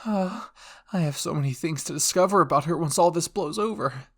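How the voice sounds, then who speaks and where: A man voicing an excitable, curious woman scientist who gushes eagerly in an anime fan roleplay. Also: fairly high pitch, fairly quick, voice pushed up. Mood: excited fascination. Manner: eager, gushing.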